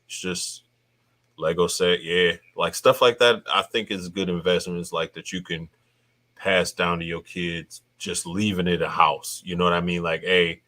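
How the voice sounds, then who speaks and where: A man in his thirties with a very deep, low voice talks in a relaxed, slangy way. He sounds like a podcast host shooting the breeze, dropping "bro" into nearly every thought. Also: unhurried. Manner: laid-back slangy talk, says "bro" often. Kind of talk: casual chat.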